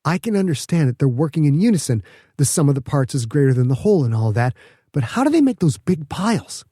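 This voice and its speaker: A man's voice: on the high side, very animated, fast, mellow, slightly rough, no room sound, clean studio sound. A man voicing a wary, questioning female governor in audiobook dialogue. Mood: curious, wary.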